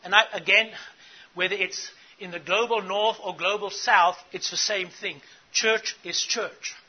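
A man's voice: high-pitched, fairly even intonation, unhurried, husky, muffled recording. A middle-aged man from Africa, giving an earnest teaching talk to church leaders and putting questions to the audience. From South Africa. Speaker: conference speaker.

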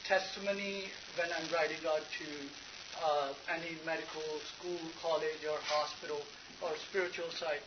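A man from Pakistan asking a question from the audience at a conference, hesitant and full of fillers. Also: a little high. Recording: distant, muffled room recording with hiss.

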